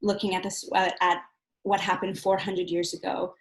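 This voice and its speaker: A woman giving a personal account as a panel guest, earnest and reflective, often halting to rephrase herself. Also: on the low side, little pitch movement, gravelly. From Southern California, United States. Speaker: panel guest, community coordinator. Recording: roomy, echoey sound.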